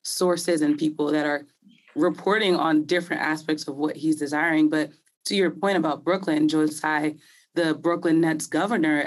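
A woman hosting a sports podcast in casual conversation, her voice slightly hoarse from talking a lot, chatty and quick to laugh. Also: sits low. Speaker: podcast host.

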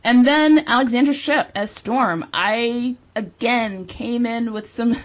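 A woman's voice: on the high side, slow pace. A woman giving emphatic, opinionated views in a casual film discussion, as a panelist. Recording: dull, muffled lo-fi audio.

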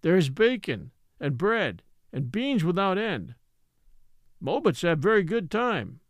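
A man's voice: sits high, sing-song, slow, dry recording, full character voice. A man voicing an old Native man in a story reading, resigned and scornful, speaking simple broken English with rhetorical questions. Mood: wry scorn. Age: older.

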